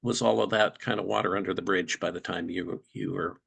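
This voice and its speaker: Gravelly low-voiced male interviewer. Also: fairly even intonation, a bit bright. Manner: measured, polite, long wordy questions. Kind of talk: interview questions.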